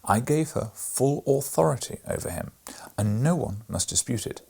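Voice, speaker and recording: Kind but firm storybook dad, read by a man, husky and a bit gravelly. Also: mid-range voice, animated, moderate pace, darkish tone. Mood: gentle, firm. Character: kindly firm father of the children.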